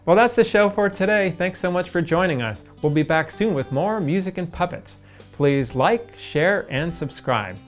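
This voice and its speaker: A man's voice: sits high, animated, moderate pace. A middle-aged man hosting a children's puppet show, cheerfully leading call-and-response dance moves. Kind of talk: kids' show with songs. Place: indoor studio set with painted backdrop. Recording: roomy studio with guitar music behind.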